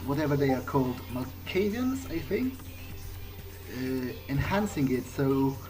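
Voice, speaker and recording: A man playing a slow, hesitant, bewildered young vampire. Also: mid-range voice, very animated, a little mellow, faint music behind, poor audio. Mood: pensive, unsure. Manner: halting, hesitant, searching for words. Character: bewildered newly turned vampire, former programmer, musing earnestly. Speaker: video game player speaking in character. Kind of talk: in-character thinking aloud during a playthrough.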